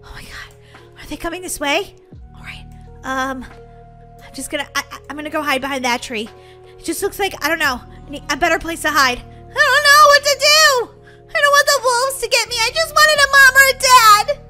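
A woman's voice: extremely high pitch, lively intonation, moderate pace, bright, forceful tone, soft background music, full character voice. A woman voicing a frightened young orphan girl on the run in a children's gaming roleplay, talking to herself in dramatic exclamations. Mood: terrified. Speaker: gaming channel host.

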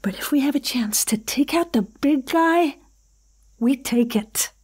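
A woman's voice: sits high, lively intonation, measured pace, darkish tone. A woman playing a tough, tactical member of a rescue team in an audio horror drama. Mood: resolute.

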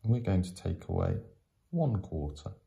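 Male tutor for step-by-step lesson narration: very low pitch, gravelly creak, patient explanatory delivery. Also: wildly swooping pitch, warm tone. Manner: patient, explanatory, with frequent pauses. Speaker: teacher.

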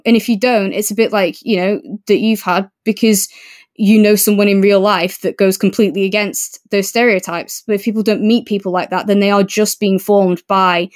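Woman on a book podcast, casual and earnest, medium pitch, says what she thinks. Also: steady tone, moderate pace. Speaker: podcast co-host.

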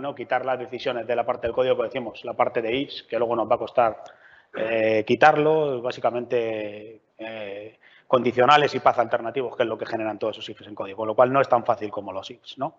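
A man's voice: medium pitch, fairly even intonation, moderate pace. A man presents at a developer meetup in a large room. He talks conversationally with frequent check-ins. The sound is muffled and carries long hall reverb. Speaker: meetup speaker. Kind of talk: technical conference talk. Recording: lo-fi roomy hall recording.

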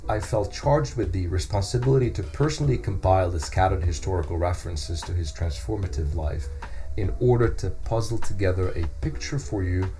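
A man's voice: low voice, brisk, warm tone, soft background music, close to an everyday voice. A middle-aged man playing a modest, earnest journalist being interviewed on a scripted podcast. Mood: earnest, humble. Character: modest, earnest male wire-service journalist. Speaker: guest journalist. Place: small furnished podcast studio. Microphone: studio microphone on a boom arm.

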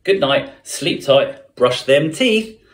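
A man's voice: mid-range voice, animated, takes it slowly. A middle-aged man presenting to children as a storyteller, recorded in a room at home from a few steps away. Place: living room or bedroom at home. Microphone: camera a few steps away. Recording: echoey room, distant microphone.

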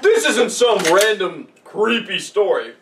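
An animated man in his twenties with a highish, very lively voice jokes and reads with mock drama. He sounds some way from the microphone in a small room. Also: unhurried. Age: 20s. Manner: animated, sweary, joking. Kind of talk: casual banter, mock-dramatic reading aloud. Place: small room, group on couches. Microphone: far camera. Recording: distant camera mic, room noise.

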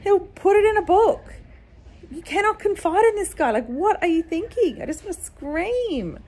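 A woman's voice: extremely high pitch, expressive, normal speed, a little mellow. A woman in her thirties with an Australian accent, chatting casually and bluntly in a book vlog, filmed on a phone at arm's length at home. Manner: chatty, blunt, exasperated asides. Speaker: book vlogger. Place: car, then living room at home. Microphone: phone camera at arm's length.

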